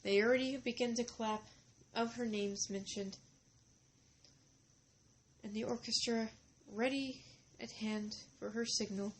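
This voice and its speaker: A woman narrating a story informally, halting and deliberate, with dramatic pauses between short phrases. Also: on the high side, steady tone, slight background noise, poor audio.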